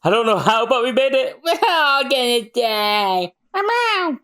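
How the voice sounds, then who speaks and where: A performer voicing excitable, dramatic pirates and marines in an acted manga read-aloud, exclamatory and melodramatic. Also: high voice, unhurried, pitched above the performer's own voice, heavily acted. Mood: excited.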